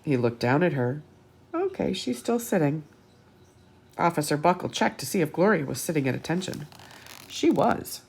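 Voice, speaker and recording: A woman reading a picture book aloud to children expressively, pausing for asides and questions to her young listeners. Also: low-pitched, very animated, creaky, darkish tone, husky. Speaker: children's storytime host.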